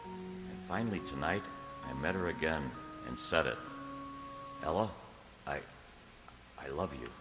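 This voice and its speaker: A man playing an earnest, anxious, lovestruck gentleman in a radio drama, with a stammering, dramatic delivery. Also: extremely low pitch, animated, measured pace, pauses often, echoey room, big-room sound, over music, exaggerated voice, poor audio. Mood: pleading. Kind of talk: radio drama acting, journal narration and dialogue.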